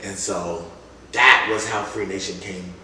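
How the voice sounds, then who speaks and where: A man's voice: sits low, steady tone, a little slow, sharp tone. A young man speaking as a rapper being interviewed, casually telling stories in slangy talk and acting out remembered dialogue. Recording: echoey room, distant mic, faint noise.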